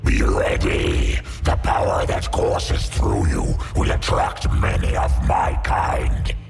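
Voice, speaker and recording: A man voicing a menacing alien symbiote monster for a video game, in a deep gravelly growl with layered monster processing. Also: wildly swooping pitch, takes it slowly, darkish tone, exaggerated voice. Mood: ominous. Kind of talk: video game character acting. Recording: long reverb, music behind.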